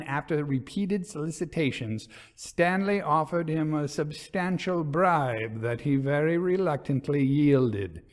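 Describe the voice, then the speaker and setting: A man reading a literary ghost story as a storyteller, in measured, dramatic, formal narration. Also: mid-range voice.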